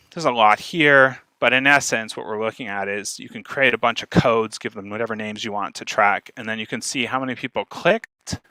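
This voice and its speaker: A man's voice: mid-range voice, bright, forceful tone, clear, resonant tone, no room sound. A man presenting informally online as a guest speaker, explaining in an emphatic, conversational way. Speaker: guest speaker giving a marketing talk.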